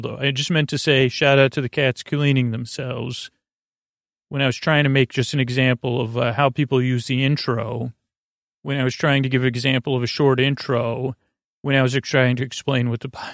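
A man hosting a bedtime podcast in a gravelly, creaky voice, meandering and halting as he drifts off on tangents. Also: medium pitch, no echo, studio quality. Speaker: podcast host. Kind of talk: rambling bedtime podcast monologue.

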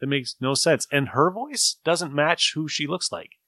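A man's voice: a little high, lively intonation, measured pace, bright, forceful tone, no room sound, studio quality. A man hosting a podcast, chatting casually with friends. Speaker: podcast host. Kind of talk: casual group chat.

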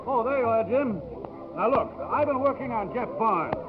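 High-pitched, pushy bigwig, muffled radio. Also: male voice, lively intonation, normal speed, noisy background. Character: hearty, pushy, self-important wealthy college trustee. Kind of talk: 1950s radio drama acting. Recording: muffled old radio recording.

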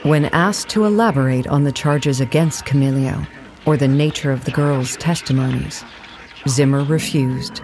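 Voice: medium pitch, normal speed, darkish tone, slight background noise, natural voice. A narrator reading a scripted documentary account for an audio play in a formal, factual manner.

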